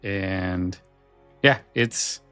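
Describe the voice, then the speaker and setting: A man's voice: low-pitched, very slow, pushed and bright, clear, resonant tone, soft background music. A man hosting a podcast, chatting casually in a hesitant, musing way. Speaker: podcast host. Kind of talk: casual chat.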